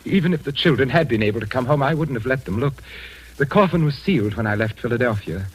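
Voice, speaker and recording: A man's voice: medium pitch, expressive, fast talker, breathy edge, rough recording. An actor playing an insistent, aggrieved widowed father in a theatrical, formal old-time radio drama style. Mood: grieving.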